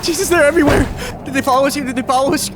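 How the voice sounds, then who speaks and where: A man's voice: very high-pitched, steady tone, racing, very noisy. An actor playing a desperate, panicky young man who stammers and rambles in a horror audio drama. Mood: panicked. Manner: stammering, frantic.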